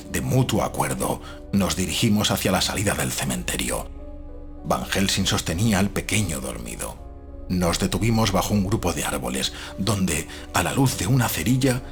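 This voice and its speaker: Deep-voiced male gothic audiobook narrator. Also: animated, normal speed. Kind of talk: scripted dramatic narration of gothic fiction. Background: faint music.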